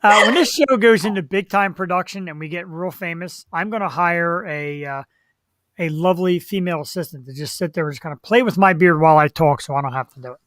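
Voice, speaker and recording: A man's voice: high-pitched, fairly quick, a bit bright, dry recording. Playful male talk-show host, dry sound. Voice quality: slightly nasal. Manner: playful, self-deprecating banter. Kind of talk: casual live-stream chat. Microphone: computer microphone, online show.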